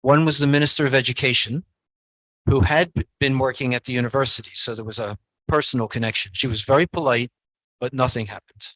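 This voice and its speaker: A man giving a guest lecture, answering audience questions in a halting, soft-spoken way with many pauses and restarts. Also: medium pitch, steady tone, dry recording, low-quality recording.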